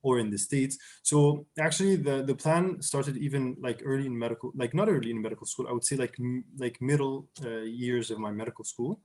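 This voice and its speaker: A man's voice: medium pitch, brisk, few pauses, a little mellow. A young man from Syria, a surgery resident, answering interview questions in a hesitant, casual way, with many fillers and restarts.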